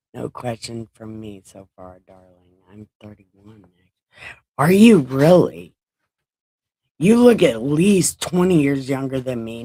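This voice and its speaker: Slow-talking woman around forty, dry sound. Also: a bass voice, dark tone, breathy edge, dry recording. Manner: laid-back, profane, joking asides between read passages. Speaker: streamer reading scripture to a live chat. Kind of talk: casual read-along chat.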